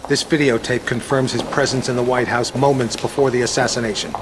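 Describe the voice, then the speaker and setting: A man's voice: medium pitch, fairly even intonation, fairly quick, background noise, natural voice. A man playing a smug, ruthless conspiracy boss in a video game cutscene, articulate and sardonic. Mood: gloating. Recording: background music over dialogue.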